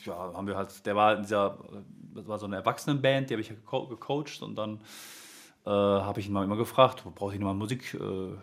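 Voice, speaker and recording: A man's voice: on the low side, fast. A man, a music teacher, chatting casually as an interview guest, rambling with many fillers and restarts.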